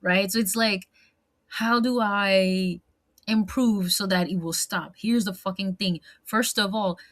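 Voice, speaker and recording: A woman's voice: mid-range voice, steady tone, measured pace. Rambling woman with a French accent. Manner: rambling, repeats words, lots of fillers; slips into French now and then. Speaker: vlogger. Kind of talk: candid personal monologue.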